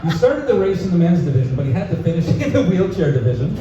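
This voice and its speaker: A man's voice: high-pitched, big pitch swings, fast. A young Canadian man doing a live stand-up set, casual and full of "uh" fillers, chuckling at his own jokes. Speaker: stand-up comedian. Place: pub comedy room, live audience. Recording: echoey bar room, distant mic, dull sound, noisy.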